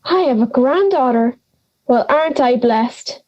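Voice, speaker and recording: A woman's voice: high-pitched, lively intonation, measured pace, a little mellow, muffled recording. A young woman playing a cranky, forgetful old granny in an audio drama, brusque, sarcastic and theatrical. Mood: mocking. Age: young adult.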